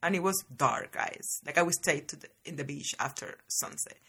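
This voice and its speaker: A woman hosts her own podcast, telling stories from her childhood. Her voice sits low and comes out husky and a little breathy. She chats in a lively, casual way and keeps calling her listeners guys. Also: normal speed. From Cuba. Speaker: podcast host. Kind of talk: casual personal storytelling.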